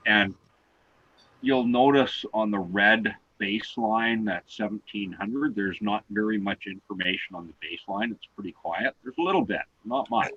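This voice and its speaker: Man co-host, loud, patient explainer, lowish voice, dry muffled home mic. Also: husky, dry recording. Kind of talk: online talk show discussion.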